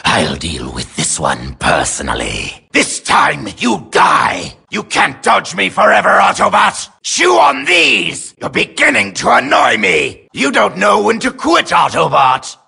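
A vain, treacherous robot villain from a video game. The voice is piercingly sharp and very raspy, with a screechy edge. The delivery is theatrical and sneering, swinging wildly in pitch. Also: male voice, big pitch swings, measured pace, hardly any pauses, heavily acted. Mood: boastful, scornful.

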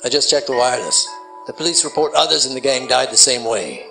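An actor playing a streetwise sidekick who talks in a quick, casual way. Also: male voice, mid-range voice, vocal fry, pushed and bright, faint music behind. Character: streetwise young male sidekick, jittery. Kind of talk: radio drama acting.

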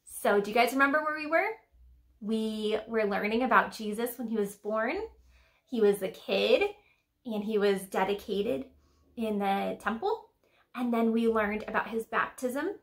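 A young woman with a fairly high voice speaks to children as their church teacher. She talks simply and chattily, often turning to them with questions, in a small indoor room. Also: animated, unhurried. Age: young adult. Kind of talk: children's Sunday school lesson to camera.

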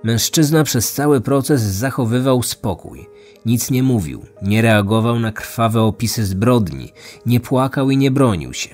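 A man's voice: medium pitch, expressive, unhurried, a little mellow, soft background music. A man hosting a true-crime podcast, reading scripted narration.

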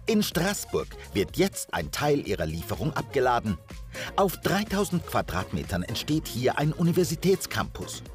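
A man's voice: mid-range voice, wildly swooping pitch, normal speed. A man narrating a reality documentary in a playful, sing-song way. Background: music.

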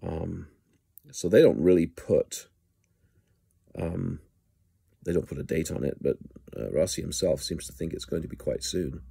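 Middle-aged man, very deep with a gravelly edge, halting um-filled commentary into a close phone. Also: dark tone, no room sound. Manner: halting, frequent ums and restarts. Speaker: news blogger. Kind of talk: casual video commentary. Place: indoors at home, small room. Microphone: phone camera held close.

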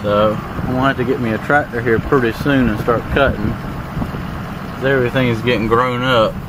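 A man giving a relaxed, plain-spoken tour of his land, walking and then driving. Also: medium pitch, brisk. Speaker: landowner showing his farm. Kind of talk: casual walk-and-drive tour narration. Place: outdoors in fields, then inside a moving pickup truck. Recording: clear background noise of truck engine and outdoors.

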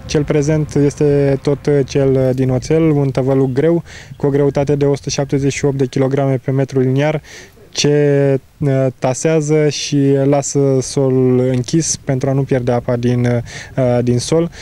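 Man, 30s, product specialist interviewed outdoors. Soft, dark tone, technical delivery with halting pauses. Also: mid-range voice, level tone. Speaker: agricultural machinery product specialist. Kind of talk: explaining equipment in an interview. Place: outdoors in an open field. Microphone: handheld interview microphone.

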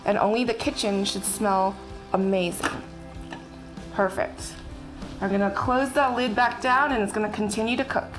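A young woman chef casually explaining a recipe step by step while she cooks in a bright home kitchen. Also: a little high. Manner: friendly step-by-step instructions while working. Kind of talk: casual cooking demonstration. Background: background music and sizzling food.

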